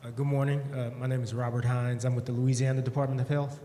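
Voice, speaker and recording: A man stands in the audience and puts a careful, deliberate question to a panel. His voice is mid-pitched and even. The room is roomy and reverberant, with faint noise behind him. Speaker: audience member asking a question. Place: conference breakout room. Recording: echoey hall with background noise.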